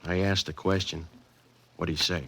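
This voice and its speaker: A menacing tough-guy crook in an old radio mystery. The voice is low, and the talk is blunt, clipped and slangy. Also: steady tone, moderate pace, creaky, pushed and bright, slightly rough, heavily acted. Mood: gruffly threatening. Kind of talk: old-time radio drama acting.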